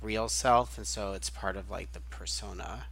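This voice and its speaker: A man's voice: on the low side, steady tone, moderate pace, a bit bright, husky. A man on a casual film podcast, talking hesitantly and filling his speech with "like" and "um". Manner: hesitant, full of "like" and "um". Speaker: podcast co-host.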